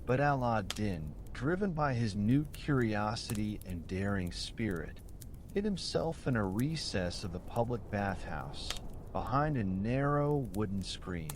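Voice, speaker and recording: A man narrating a bedtime story in a soothing, measured, deliberate storybook style. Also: on the low side, animated, a little hiss or hum.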